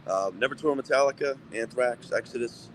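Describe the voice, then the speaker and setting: A man, answering interview questions in a relaxed, plain-spoken way with many ums and restarts. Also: mid-range voice, fairly quick, slight background noise. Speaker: metal band vocalist, guest.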